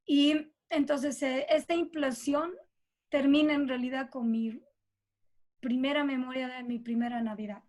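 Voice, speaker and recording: A middle-aged Bolivian woman with a Bolivian accent talks reflectively and informally as an interviewed composer, thinking aloud in an expressive way. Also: high voice, a little slow.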